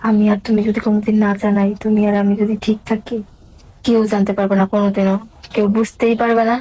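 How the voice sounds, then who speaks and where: Female, mid-pitched, mellow timbre, brisk casual phone talk, reassuring short answers. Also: little pitch movement, low-quality recording.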